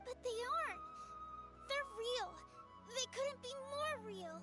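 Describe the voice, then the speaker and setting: A woman playing a sick, earnest young girl in a video game, with a light childlike voice and halting delivery. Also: very high voice, expressive, over music, full character voice. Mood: insistent.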